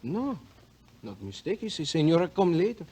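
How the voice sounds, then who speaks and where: An actor playing a timid but insistent shopkeeper with a Spanish accent and halting, broken English in a 1950s radio drama. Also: male voice, on the high side, big pitch swings, a little mellow, husky. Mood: hesitant, insistent. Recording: vintage radio broadcast, off mic.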